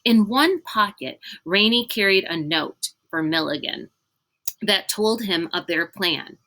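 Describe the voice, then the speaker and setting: A woman reads a children's novel aloud in an animated, expressive storytelling style with clear emphasis. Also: mid-range voice, a little slow, poor audio. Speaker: read-aloud reader.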